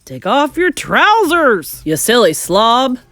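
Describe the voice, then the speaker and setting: A woman voicing angry kitchen workers in a storybook, yelling urgently and loudly. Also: a little high, big pitch swings, takes it slowly, dry recording, exaggerated voice. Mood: scornful. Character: angry shouting kitchen staff hunting a mouse.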